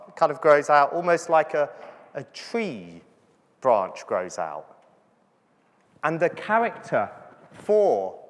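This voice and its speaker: A man, a doctor, giving a chatty, joking conference lecture to physiotherapists and checking in with the audience. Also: fairly high pitch. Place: large conference hall. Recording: hall reverb from the venue.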